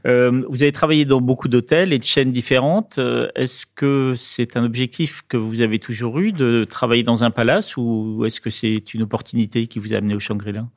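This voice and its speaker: A man's voice: mid-range voice, fairly even intonation, fairly quick, hardly any pauses, no echo, clean studio sound. Male co-host asking long questions. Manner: long multi-part questions. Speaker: co-interviewer. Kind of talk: interview questions.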